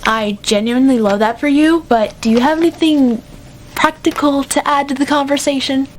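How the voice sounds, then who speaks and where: High-voiced, bossy teenage debate captain played by a woman. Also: close to an everyday voice. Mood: brisk, sarcastic. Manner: brisk, dry, sarcastic. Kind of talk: amateur radio drama acting. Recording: clear background noise with low rumble.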